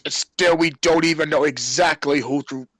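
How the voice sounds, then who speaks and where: A man's voice: fairly high pitch, normal speed, a bit bright, no echo. A man co-hosting a podcast, chatting casually about wrestling. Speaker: podcast co-host. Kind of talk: casual wrestling recap chat.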